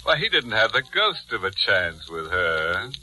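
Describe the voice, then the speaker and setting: An actor playing a sardonic horror host who delivers morbid puns tongue-in-cheek in 1940s radio style. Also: male voice, lowish voice, very animated, measured pace, pushed and bright, full character voice. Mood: gleefully macabre. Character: sardonic, ghoulish male horror-show host. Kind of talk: 1940s radio mystery hosting. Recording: old 1940s radio recording, faint music.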